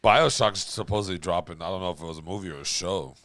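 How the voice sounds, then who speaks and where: A man's voice: deep voice, fast, fairly bright tone, dry recording. A man co-hosting a podcast, chiming in casually with slangy, profane teasing. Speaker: podcast co-host. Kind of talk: casual chat, short interjections.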